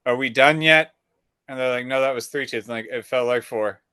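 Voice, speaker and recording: A man co-hosting a podcast, telling an animated story in casual chat and acting out the dialogue. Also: medium pitch, brisk, smooth, no room sound, studio quality. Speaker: podcast co-host.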